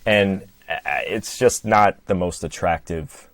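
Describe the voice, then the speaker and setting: A man's voice: sits low, slow, no room sound. A man from Michigan with a Midwestern accent, chatting as a podcast guest over a video call, rambling and playful. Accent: Midwestern American. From Michigan, USA. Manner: rambling, self-deprecating, playful. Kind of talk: casual chat.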